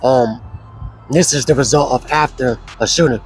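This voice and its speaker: A man with a hoarse voice, casually telling his life story as an interview guest, slow and relaxed with many fillers. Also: mid-range voice, soft background music.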